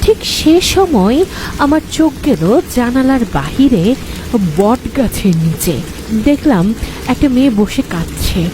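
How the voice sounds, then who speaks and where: A woman's voice: a little high, sing-song, slow, background noise. A woman narrating a first-person horror story in a dramatic, suspenseful way.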